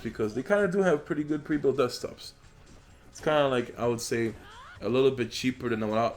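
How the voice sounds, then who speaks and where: A young man, a gaming YouTuber who grew up in Poland and speaks Polish natively, giving casual, rambling commentary over gameplay into a desk microphone, with plenty of fillers. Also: mid-range voice. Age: young adult. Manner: rambling, filler-heavy, relaxed. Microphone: USB desk microphone.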